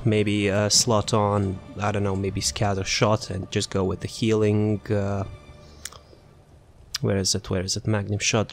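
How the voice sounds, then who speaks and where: A man giving a casual, unscripted game build walkthrough in a rambling, informal way, thinking aloud as he goes. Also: on the low side. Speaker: gaming video creator presenting a character build.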